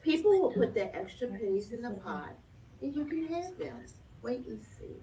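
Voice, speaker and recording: A woman's voice: on the high side, very animated, normal speed, warm tone. A woman voicing a kindly, encouraging female art teacher from a storybook, reassuring and helpful. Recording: dull, distant, noisy room recording.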